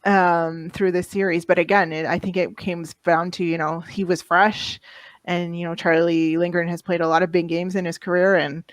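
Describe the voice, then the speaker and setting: A guest hockey writer on a podcast, relaxed, using many fillers and laughing easily. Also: mid-range voice, moderate pace, no echo. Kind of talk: casual podcast interview.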